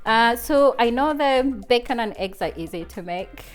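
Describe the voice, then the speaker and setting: A woman's voice: on the high side, lively intonation, moderate pace, faint music behind. A woman from Uganda with a Ugandan accent, chatting and explaining casually as a guest cook. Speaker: charity staff member, guest cook. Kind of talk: casual cooking chat and explanation.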